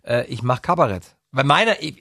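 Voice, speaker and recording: A man's voice: medium pitch, sing-song, a little fast, creaky, pushed and bright, no echo. A man from Bavarian Swabia hosting a breakfast radio interview, jovial and asking playful questions. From Bavarian Swabia, Germany. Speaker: radio host. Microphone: studio microphone. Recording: dry radio studio.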